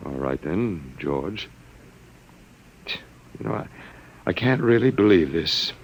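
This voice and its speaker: A flustered professor. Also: male voice, sits low, lively intonation, takes it slowly, creaky, slight background noise, full character voice, rough recording. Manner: flustered, stammering, wry. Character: bewildered male professor, last man on Earth. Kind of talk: 1950s radio drama acting.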